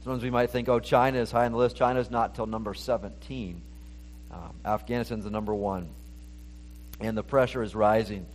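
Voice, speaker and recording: A man preaching a sermon, earnest and steady, his voice rising when he reads scripture aloud. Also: mid-range voice, slight background noise, poor audio. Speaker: pastor.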